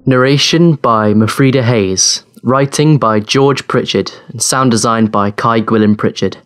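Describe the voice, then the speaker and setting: A man's voice: medium pitch, unhurried, fairly bright tone. A man reading podcast credits in a plain announcer's style.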